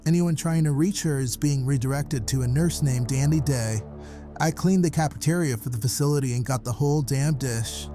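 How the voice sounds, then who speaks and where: A man's voice: medium pitch, moderate pace, over music, close to an everyday voice. An actor playing a folksy, wry hospital janitor who calls in and tells his story matter-of-factly in a horror audio drama. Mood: wry, gossipy. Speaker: caller.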